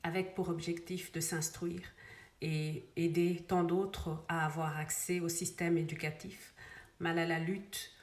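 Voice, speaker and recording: A woman's voice: fairly low pitch, little pitch movement, measured pace, roomy sound. A woman whose first language is Swahili, hosting an earnest inspirational vlog and switching between English and French. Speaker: vlog host. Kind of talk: inspirational bilingual monologue.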